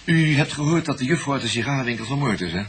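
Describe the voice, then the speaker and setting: An actor playing a brisk police detective who questions people in a radio drama. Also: male voice, medium pitch, animated, a bit bright, slight background noise, close to an everyday voice. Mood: probing.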